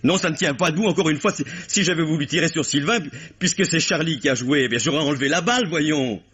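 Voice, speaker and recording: A man's voice: a little high, quick pace, a bit bright, slightly rough. A man playing a stage actor suspected of murder, who is agitated, bitter and sarcastic and breaks off mid-sentence, in a dull lo-fi old radio drama. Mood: indignant. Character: anguished male stage actor accused of murder. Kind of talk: 1950s French radio drama acting.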